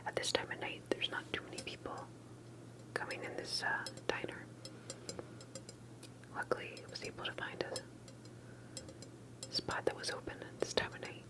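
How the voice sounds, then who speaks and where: Whispering, secretive woman in ASMR roleplay. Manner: hushed, secretive, hesitant with soft pauses. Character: secretive, cautious investigator interviewing an alien abductee.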